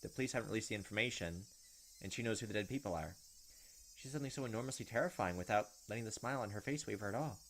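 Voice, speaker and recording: A man's voice: fairly low pitch, very fast, a bit bright, slight background noise. A man in his thirties reading visual novel narration aloud and commenting as he plays. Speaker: let's-player. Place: indoor room at a computer, on webcam. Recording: game music behind. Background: game music, insect chirps.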